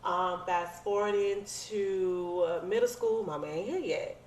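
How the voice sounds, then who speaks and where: A woman's voice: mid-range voice, a little slow, darkish tone. An American woman in her thirties telling personal stories in a casual, conversational way full of slang. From United States. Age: 30s. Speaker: podcast guest. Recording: roomy echo, clear background noise.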